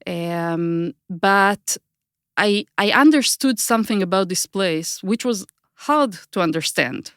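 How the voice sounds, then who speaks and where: A woman's voice: medium pitch, animated, takes it slowly, a bit bright, clear, resonant tone, dry recording, clean studio sound. A middle-aged woman with an Israeli accent, a native Hebrew speaker, answering interview questions thoughtfully and searching for her words. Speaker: guest, author.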